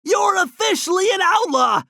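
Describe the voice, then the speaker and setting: Nerdy turtle genius, high and lively, gravelly edge, bright tone, dry close sound. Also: male voice, moderate pace, no echo, full character voice. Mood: encouraging. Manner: brainy, fussy, encouraging, excitable. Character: nerdy bespectacled male turtle tech genius. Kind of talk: video game voice lines.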